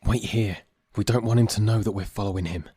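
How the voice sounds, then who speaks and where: A man's voice: lowish voice, fairly even intonation, brisk, warm tone, slightly rough, dry recording. A man acting a wary, foul-mouthed survivor in an audio drama. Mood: wary, dry. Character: wary, sweary survivor trailing an old comrade.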